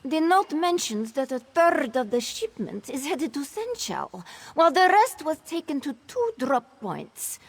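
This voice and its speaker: A woman voicing a proud, fiery, confident cat-folk woman in a video game, with a brisk, self-assured manner. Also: high-pitched, very animated, heavily acted. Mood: urgent, focused. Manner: self-assured, brisk, refers to herself in third person. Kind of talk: video game character dialogue, AI-voiced.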